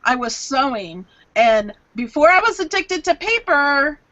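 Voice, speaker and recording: Chatty woman co-host, slightly high voice, lo-fi stream audio. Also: very animated, a little slow, fairly bright tone. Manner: chatty, shares personal anecdotes. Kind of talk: live online craft show chat.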